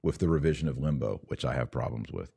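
A man with a very low voice hosts a religious podcast. He speaks conversationally but emphatically, building arguments with rhetorical questions and quoted passages. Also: expressive, fast, vocal fry, dark tone, slightly rough. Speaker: podcast host. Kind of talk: conversational religious commentary with read-out quotations.

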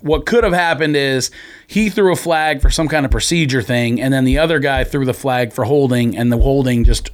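A man's voice: medium pitch, moderate pace. A man co-hosting a podcast, debating sports casually in an argumentative way and explaining his points. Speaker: podcast co-host.

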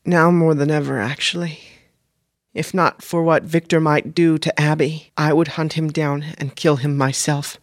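A composed woman operative speaks in calm, measured, formal sentences, voiced by a male narrator. Her pitch sits clearly higher than his natural voice. The reading is close and dry. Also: dry recording, lightly acted.

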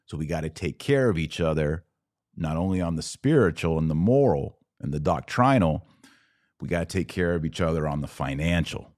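Very deep-voiced man hosting a podcast. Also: animated, moderate pace, a little mellow, no echo, clean studio sound. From Texas. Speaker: podcast host. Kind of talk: casual live commentary answering audience comments.

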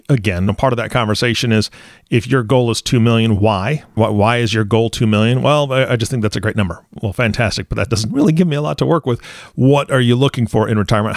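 A man's voice: medium pitch, dry recording, clean studio sound. A man in his forties hosting a podcast, chatting casually and laughing easily as he lays out hypothetical questions. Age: 40s. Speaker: podcast host. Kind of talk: casual interview chat.

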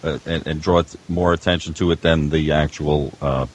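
An older man chatting as a veteran audio producer, explaining things in a halting, rambling way. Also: very deep voice, fairly even intonation, a little hiss or hum. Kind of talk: casual panel discussion of audio production.

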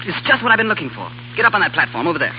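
An actor playing a brash, flirtatious, impulsive bohemian sculptor in a 1930s radio drama. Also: male voice, high-pitched, sing-song, racing. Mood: excited, commanding. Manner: impulsive, stammering when flustered, bossy. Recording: muffled, noisy 1930s radio recording.

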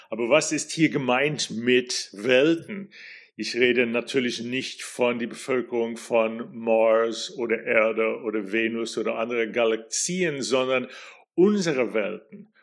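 A middle-aged man from New York with an American accent hosts his own podcast. He speaks very slowly and reflectively, pausing often and filling gaps with fillers. His voice sits at an ordinary middle pitch for a man. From New York, USA. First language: English. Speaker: podcast host. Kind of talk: solo podcast monologue.

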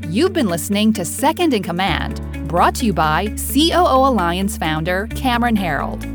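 A woman's voice: mid-range voice, sing-song, moderate pace. A woman reading a polished, scripted podcast outro announcement. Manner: polished, promotional. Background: music bed.

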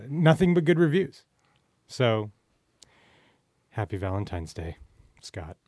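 A man's voice: mid-range voice, no room sound, clean studio sound. A man hosting a podcast, chatting in a casual, teasing, informal way and joking as he asks questions. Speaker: podcast host. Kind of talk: casual joking interview chat.

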